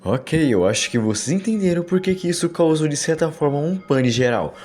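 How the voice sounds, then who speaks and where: A man's voice: on the high side, lively intonation, moderate pace, a little mellow. A man with a Brazilian Portuguese accent, hosting and narrating explanatory commentary in a wordy, conversational way. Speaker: video narrator and host. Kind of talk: explanatory commentary over horror videos.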